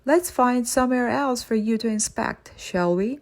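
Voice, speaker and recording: A woman's voice: on the high side, normal speed, voice pushed up, lightly acted. An imperious male villain from a children's audiobook, acted out by a woman. He is a stern workhouse master with a dry, haughty manner and a hot temper that tips into shrieking when he is thwarted. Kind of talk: audiobook character voice.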